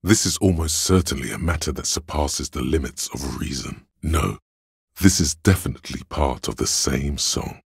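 A detective's inner voice of pure logic for a video game. It is exceptionally low and heavily husky, reasoning coolly and deliberately. The sound is dry and close, with every deduction laid out in a measured, analytical way. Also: male voice, dry recording, heavily acted. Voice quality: very deep, gravelly, husky. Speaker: voice actor. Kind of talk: scripted video game voice-over, inner-voice narration.

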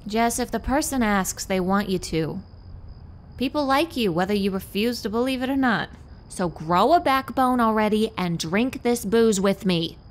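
A woman's voice: mid-range voice, animated, moderate pace, lightly acted. An actress playing a blunt, world-weary woman in an audio drama, dry and frank. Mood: wry.

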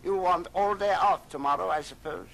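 An actor playing a scornful, cold-hearted old miser, curt and grumbling, in old radio drama style. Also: male voice, sits high, normal speed, gravelly, a bit bright. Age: older. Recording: noisy old record transfer.

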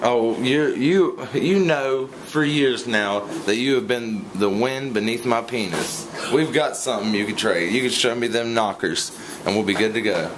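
A young man making a prank phone call from a home kitchen at night, talking casually into a phone held close, teasing and smooth-talking with plenty of slang. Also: mid-range voice, unhurried. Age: young adult. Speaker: prank caller. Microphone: phone held close to mouth, camera close by. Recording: noisy home recording.

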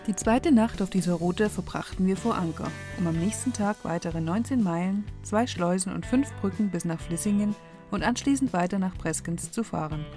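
A woman calmly narrating a sailing vlog in a scripted voice-over. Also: mid-range voice.

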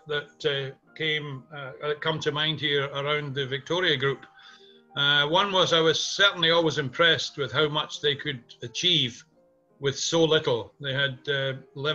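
Older scottish guy in his sixties or up on a webcam call, thoughtful, sharp bright voice, music under it. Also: mid-range voice. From Scotland. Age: 60s or older. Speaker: interviewee, community theatre music director. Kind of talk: reminiscing in a video interview. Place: small home room indoors. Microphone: webcam video call. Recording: muffled video call audio, music underneath.

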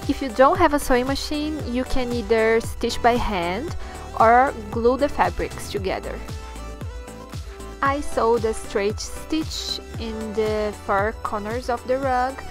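Young woman w/ brazilian portuguese accent, kinda high voice, chatty diy sewing tutorial, bit halting english. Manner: chatty, slightly halting non-native phrasing. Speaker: sewing channel host.

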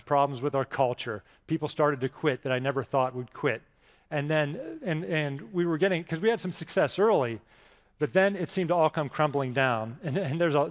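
A man in his forties with an American accent, giving a conversational keynote speech with self-deprecating stories and frequent restarts, on a headset mic in front of a live audience. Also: fairly high pitch. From Pittsburgh, USA. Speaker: keynote speaker. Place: large conference stage with audience. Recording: long hall reverb from stage.

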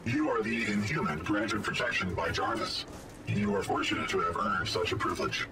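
A man's voice: lowish voice, big pitch swings, normal speed, recorded from a distance, very noisy. A man playing a polite artificial intelligence robot in a video game, speaking in formal, precise, stilted phrases. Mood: courteous, measured.

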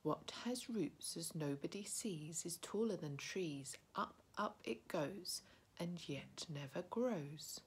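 A woman voicing a sneaky, hungry male creature who hisses his words in a slow, creeping whisper. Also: lowish voice, lively intonation, breathy edge, exaggerated voice. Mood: menacing. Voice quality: hissing whisper.

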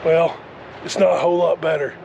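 A man in his thirties who has lost his voice to a cold, talking hoarsely and haltingly to a camera held at arm's length on a rainy farm. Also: a little high, little pitch movement. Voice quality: hoarse, strained. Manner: plain, halting with fillers. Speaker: farm vlogger. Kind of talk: casual vlog talk to camera. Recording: noisy outdoor recording.

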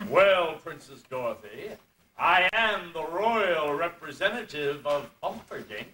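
A pompous royal elephant in a puppet show acted by a man declaims slowly in a high, swooping voice. He is courtly, fussy and grandiose, every phrase delivered with deliberate ceremony. The camcorder catches him from across a roomy space. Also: animated, sharp tone. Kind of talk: theatrical puppet show acting. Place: indoor convention stage, distant camera. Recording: roomy, distant amateur camcorder, faint noise.

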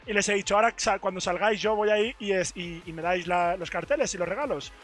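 A young man with a hoarse, worn-out voice talks excitedly to his stream viewers and keeps repeating himself. He speaks into a headset mic in a studio booth. His voice is high and bright, with faint music playing behind him. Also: fast talker. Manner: excited, repetitive. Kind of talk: casual stream chat.

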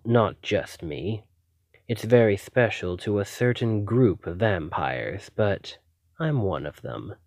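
Man playing a slow, low-voiced obsessive male vampire. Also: darkish tone, no room sound, studio quality. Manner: intimate, deliberate, dramatic pauses. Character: obsessive, hungry male vampire, smooth and menacing. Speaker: ASMR roleplay performer. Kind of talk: ASMR roleplay acting.

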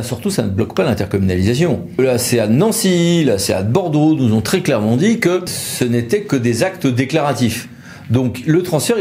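Man explaining, mellow voice. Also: medium pitch, vocal fry. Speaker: lawyer presenting an explainer video. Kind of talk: explanatory legal talk to camera, semi-improvised.

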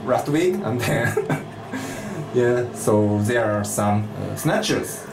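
A man with a Japanese accent, chatting casually in halting learner English and searching for words. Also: medium pitch, expressive, over music. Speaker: vlogger, English student. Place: small indoor lesson cubicle. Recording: echoey small room.

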